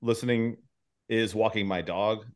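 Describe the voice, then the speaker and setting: Lowish guy voice, podcast host just chatting, rambles and thinks out loud, swears a bit. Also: fairly even intonation, a little slow. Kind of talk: casual chat.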